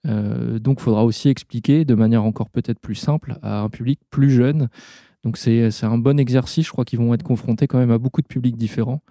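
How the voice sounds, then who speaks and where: A man organizing a science festival, answering interview questions in a measured, explanatory way with frequent restarts. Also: mid-range voice, fairly even intonation, dark tone, no room sound, clean studio sound.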